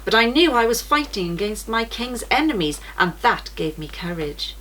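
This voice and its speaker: A woman's voice: a little high, big pitch swings, normal speed, a bit bright, poor audio. A woman voicing a brave, earnest male pilgrim swordsman in a children's storybook. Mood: earnest, resolute. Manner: earnest storybook narration of his fight. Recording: noticeable background noise.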